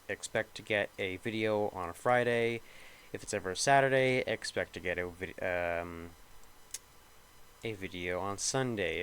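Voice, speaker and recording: A man's voice: fairly low pitch, fairly bright tone, clear, resonant tone. A young man with a slightly nasal voice, rambling casually and thinking aloud as he talks over gameplay. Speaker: gaming video creator.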